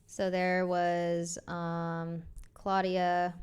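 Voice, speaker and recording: Soft-spoken hesitant young woman. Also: fairly low pitch, monotone, extremely slow, smooth. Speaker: streamer addressing her audience. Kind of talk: personal live statement.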